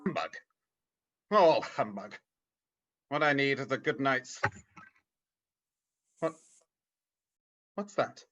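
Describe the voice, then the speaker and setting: An actor playing a grumpy, frightened old male miser in a radio play, dismissive and halting, over a video call. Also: medium pitch, very animated, fairly bright tone. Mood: grumpy disbelief. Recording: slightly dull video-call audio.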